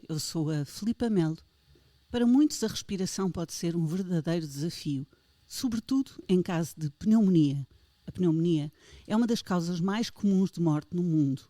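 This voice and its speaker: A woman's voice: lowish voice, dark tone, dry recording. A woman with a European Portuguese accent hosting a health podcast, interviewing a guest with playful, theatrical questions. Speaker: podcast host.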